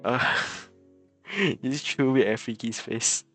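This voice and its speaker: A man's voice: mid-range voice, lively intonation, slow, fairly bright tone, faint music behind. A man livestreaming a game, chatting casually with viewers, chuckling often and stammering over repeated words. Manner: chuckles often between remarks.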